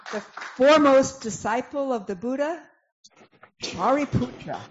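A woman's voice: high voice, expressive, a little slow. A woman narrator giving formal scripted narration and announcements for a dramatic reading. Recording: dull lo-fi sound, clear background noise.

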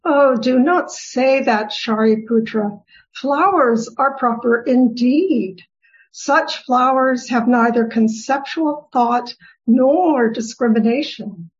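A woman reading the part of a serene, wise goddess who gently teases, in a formal scripture reading with deliberate delivery. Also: a little high. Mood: gently teasing. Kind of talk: dramatic reading of Buddhist scripture. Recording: dull, lo-fi recording.

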